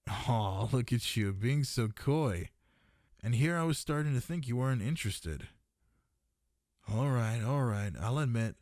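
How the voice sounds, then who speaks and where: Low-voiced flirty dragon librarian, acted by a man. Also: darkish tone, dry recording, clean studio sound. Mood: teasing, hopeful.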